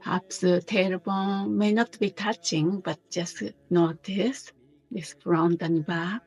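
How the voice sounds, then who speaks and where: A woman teaching a guided meditation in a gentle, unhurried manner, pausing between short phrases. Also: fairly low pitch, steady tone.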